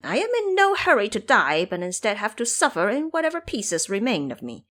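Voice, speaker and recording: A woman's voice: medium pitch, huge pitch swings, a little fast, fairly bright tone. A woman voicing a brash, playful male monkey-monk trickster in an audio drama, boastful and teasing. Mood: cheeky.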